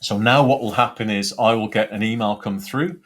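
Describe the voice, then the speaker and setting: Male product demonstrator, lowish pitch, informal step-by-step tutorial delivery. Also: moderate pace, a bit bright. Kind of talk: software tutorial walkthrough.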